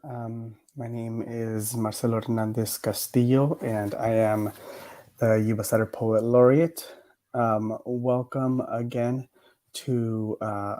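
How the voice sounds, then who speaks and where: A man's voice: fairly low pitch, dark tone. A man giving a reflective lecture on poetry, hesitant with many fillers, his voice a little worn from a cough. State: recovering from a cough, voice a bit worn. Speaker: poet laureate giving a lecture.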